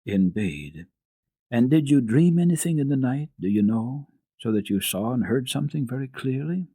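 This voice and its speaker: Man as a kindly, husky storybook doctor. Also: medium pitch, animated, no room sound, pitched above the performer's own voice. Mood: probing. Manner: gentle, measured, reassuring questioning. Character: kindly male family doctor, calm, soothing, quietly probing.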